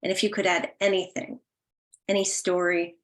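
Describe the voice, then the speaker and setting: Low-pitched woman hosting a session. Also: level tone, normal speed. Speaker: host of listening session. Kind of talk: announcing and casual event hosting.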